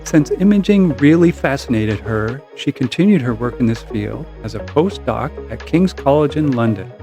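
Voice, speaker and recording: A middle-aged man hosting a podcast interview, friendly and a little halting, with fillers and restarts. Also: medium pitch, expressive, warm tone, soft background music. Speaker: podcast host.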